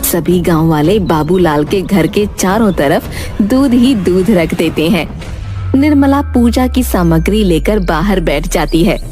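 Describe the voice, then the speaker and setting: A woman storyteller reading scripted narration for a children's animated fairy tale. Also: lowish voice, a little slow, faint music behind.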